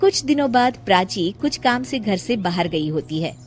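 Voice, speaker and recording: A woman doing scripted storybook narration for a cartoon, with an even storytelling cadence. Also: mid-range voice, moderate pace, faint music behind.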